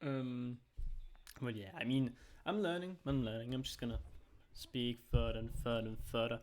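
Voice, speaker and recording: A man's voice: medium pitch, moderate pace. German-native young man casting games casually. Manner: rambling, heavy use of "like" and restarts. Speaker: amateur esports caster. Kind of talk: casual solo esports commentary.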